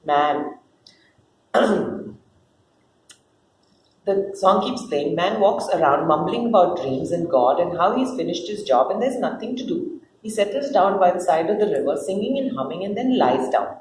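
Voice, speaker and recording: A woman's voice: fairly low pitch, animated, unhurried, a little mellow. A woman reading out scripted stage directions as the narrator of a play. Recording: roomy echo with faint noise.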